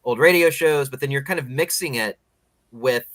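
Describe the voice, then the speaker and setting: A man's voice: mid-range voice, moderate pace, a bit bright, no room sound. A man hosting a podcast, chatting casually with guests in a rambling, conversational way. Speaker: podcast host interviewer. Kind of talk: casual interview chat.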